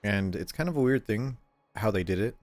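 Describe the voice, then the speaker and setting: A stuffed-up man short of breath, rambling casually as he streams a game and clears his throat often. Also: on the low side, fairly quick, darkish tone, faint music behind. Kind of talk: casual gameplay chat.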